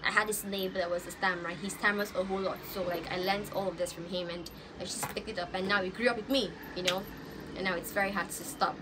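A young Nigerian woman vlogging from an outdoor balcony, talking straight into a phone camera in a loose, chatty way. She stumbles and repeats words now and then. Clear street noise sits behind her voice. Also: mid-range voice, fairly even intonation, quick pace. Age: young adult. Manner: chatty, with stammers and laughing asides. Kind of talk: casual get-to-know-me vlog. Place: outdoors on a balcony above rooftops. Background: street ambience.

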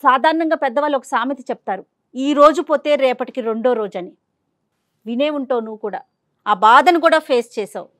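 A woman's voice: sits high, a little fast, a bit bright, no room sound, clean studio sound. A middle-aged woman host counselling in an earnest, persuasive way. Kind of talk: pleading counselling talk. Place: studio.

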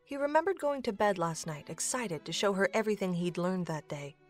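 A woman's voice: mid-range voice, expressive, brisk. A woman reading scripted narration for a fantasy audio drama. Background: music.

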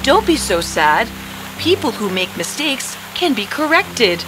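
A woman voicing a gentle, confident young princess in a fairy-tale cartoon, expressive and coaxing as she speaks. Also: on the high side, a little slow, background noise. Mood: gentle, instructing. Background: prominent background music.

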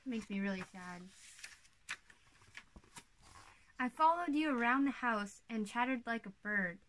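A woman's voice: fairly high pitch, animated, normal speed, fairly bright tone, low-quality recording. A young woman playing an exuberant little-sister princess in a children's storybook read-aloud, playful and theatrical. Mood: wistful. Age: young adult.

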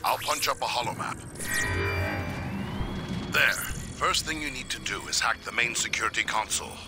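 An actor playing a practical, wry robot technician briefing the team in a video game, brisk and matter-of-fact. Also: male voice, mid-range voice, over loud music, close to an everyday voice.

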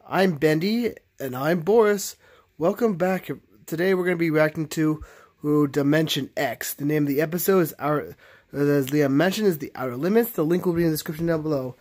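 Halting plush-toy show host played by a man. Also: fairly high pitch, a little mellow, lightly acted. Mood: chatty, halting. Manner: halting, stammering delivery. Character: plush toy characters chatting and hosting reaction show. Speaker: host of puppet reaction show. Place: bedroom.

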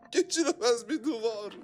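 Very high, manic cartoon card. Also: male voice, a little mellow, heavily acted. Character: manic, dramatic little male cartoon card creature. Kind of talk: animated series dub acting.

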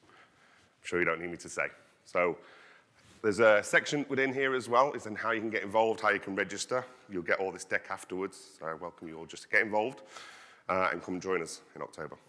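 A man in his thirties giving an energetic conference talk, projecting his voice as he walks the stage on a headset mic. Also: low-pitched, a little fast. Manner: loud, projecting voice. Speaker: conference speaker. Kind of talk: conference presentation. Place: large conference hall stage. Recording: long hall reverb.

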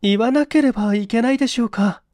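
A woman's voice: fairly high pitch, quick pace, dark tone, no room sound, clean studio sound, lightly acted. A woman playing a distressed, hesitant young office worker in an audio mystery drama, confessing in formal, deferential language. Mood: anxious, reluctant.

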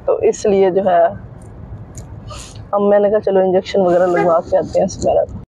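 A woman's voice: mid-range voice, level tone, moderate pace, mellow, lo-fi sound. A middle-aged woman vlogging casually from inside a car, talking straight to her viewers in a chatty way, filming on a phone held at arm's length. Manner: chatty, addresses viewers directly, repeats filler phrases. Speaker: family vlogger. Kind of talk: casual vlog narration. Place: inside a car in a busy market street. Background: faint traffic and vehicle noise.

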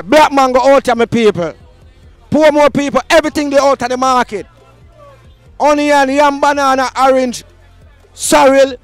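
Hyped Jamaican man with a high voice. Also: dry recording. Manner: hyped, shouting slogans, Patois banter. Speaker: street market host. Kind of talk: roving market vox pop with a handheld mic. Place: outdoor street market. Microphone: handheld mic.

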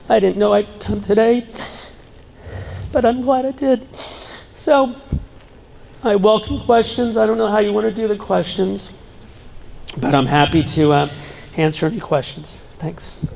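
Man, middle-aged, high voice, earnest talk to students, handheld mic, big gym, long reverb. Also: measured pace, vocal fry, pauses often, darkish tone. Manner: earnest, breaks off and restarts, jokes with the audience. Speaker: guest speaker. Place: large school gym. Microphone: handheld microphone. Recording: long reverb in a large hall. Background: seated student audience.

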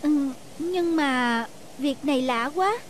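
A woman voicing a haughty, selfish young wife in an animated moral story, with expressive, emphatic delivery. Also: high voice, takes it slowly, a little hiss or hum. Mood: sheepish.

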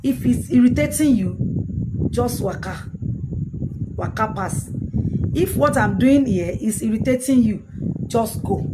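A woman's voice: a little high, lively intonation, slow pace, long pauses. A middle-aged woman with a Nigerian Yoruba accent, a native Yoruba speaker, giving casual live commentary in an emphatic way, repeating her phrases. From Ijebu, southwestern Nigeria. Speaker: livestream host commenting on news. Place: small indoor room with curtain. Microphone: phone at arm's length. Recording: noisy phone recording with room ambience.

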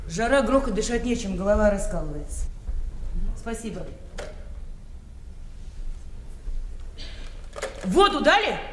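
Actress as a harried, bossy daughter, echoey room. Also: female voice, on the high side, natural voice. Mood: weary, complaining. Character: harried, bossy yet affectionate grown daughter, middle-aged. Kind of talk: Soviet radio play acting. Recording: roomy, faintly noisy radio-play recording.

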